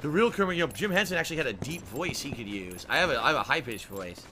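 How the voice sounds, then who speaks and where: A man's voice: mid-range voice, sing-song, fast talker, a little hiss or hum. A man in his thirties streaming a game from a small room on a headset mic, ranting and swearing in frustration. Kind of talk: live gameplay commentary. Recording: game sounds loud behind voice.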